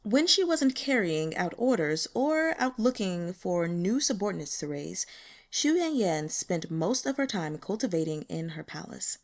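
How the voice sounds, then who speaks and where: A woman's voice: fairly low pitch, big pitch swings, measured pace. A woman reading fiction aloud as an audiobook narrator in a scripted storytelling style.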